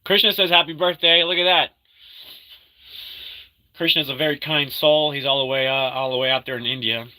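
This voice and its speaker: Man in his thirties, feeling sick, loose rambling stream chat with a very bright, sharp tone. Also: fairly high pitch, steady tone, poor audio. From Argentina. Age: 30s. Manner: rambling, halting, full of fillers and restarts; upbeat when greeting viewers. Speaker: livestreamer reading chat. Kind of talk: casual live-stream chat.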